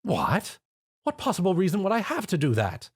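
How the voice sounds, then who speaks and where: A man's voice: sits high, wildly swooping pitch, moderate pace, husky, pitched above the performer's own voice, heavily acted. A man voicing a prim, refined fashion-designer mare with a posh, theatrical manner. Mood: fussy.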